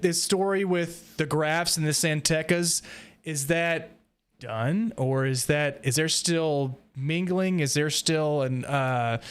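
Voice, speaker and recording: A man hosting a podcast, casually recapping and discussing a story in an animated way. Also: a little high, clear, resonant tone. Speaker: podcast host.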